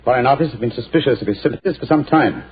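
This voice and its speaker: Brisk detective, lively up-and-down voice, husky old radio sound. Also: male voice, medium pitch. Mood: stern. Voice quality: crisp, clipped and authoritative. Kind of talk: 1940s radio acting. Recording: muffled old 1940s radio broadcast.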